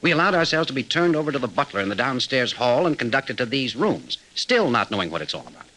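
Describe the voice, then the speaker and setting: A level-headed adventure leader in an old American radio serial. The delivery is rapid, clipped and forceful, with lively swings in pitch, over a slightly noisy vintage recording. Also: male voice. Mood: reasoning firmly. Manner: no-nonsense, clipped, commanding. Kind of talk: 1940s radio acting.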